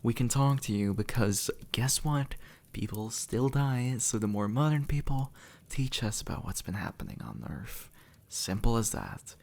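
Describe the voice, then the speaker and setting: A man's voice: mid-range voice, animated, unhurried. A man playing a sardonic, impatient demon in a conversational audio roleplay, blunt and sarcastic, speaking straight to the listener. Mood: exasperated, sarcastic. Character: sardonic, impatient male demon, centuries dead, gruffly reassuring.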